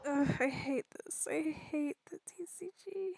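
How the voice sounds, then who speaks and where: A woman's voice: high voice, measured pace, vocal fry, darkish tone, no room sound. A woman game streamer giving casual playthrough commentary with wry, exasperated asides. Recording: game music behind the voice.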